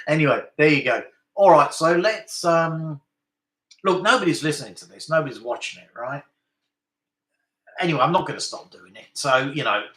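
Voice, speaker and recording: Rambling, animated man in an echoey room. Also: fairly high pitch. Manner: rambling and self-mocking, with frequent tag questions. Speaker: vlogger. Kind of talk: casual monologue to camera. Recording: roomy echo.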